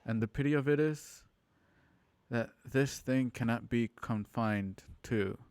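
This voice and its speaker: A man playing a grave, solemn narrator of an eerie radio play, speaking deliberately. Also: medium pitch, a little mellow, clear, resonant tone, no echo, natural voice. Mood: grave, warning.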